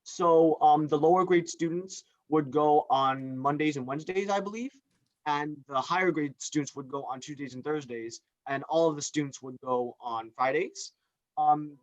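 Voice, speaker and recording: A man's voice: fairly high pitch, moderate pace, no room sound. A young man in a student competition answering a judge over a video call, hesitant and full of filler sounds. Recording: dull, dry video call audio.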